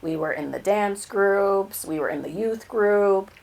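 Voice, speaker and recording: A woman's voice: mid-range voice, unhurried, a little mellow. A woman from Winnipeg co-hosting a live podcast, chatty and laughing easily as she recalls her youth. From Canada, Winnipeg. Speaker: podcast co-host. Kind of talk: casual chat at live podcast. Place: live podcast recording venue.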